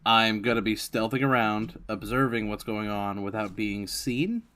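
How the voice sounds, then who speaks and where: A man's voice: on the low side, moderate pace, fairly bright tone. A man talking casually as a player in a tabletop role-playing game.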